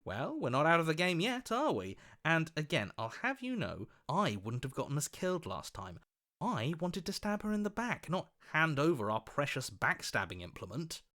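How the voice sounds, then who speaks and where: A man voicing a scheming, flattering opportunist in a video game, with an oily, ingratiating and theatrical delivery. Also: fairly high pitch, normal speed, heavily acted. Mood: defensive.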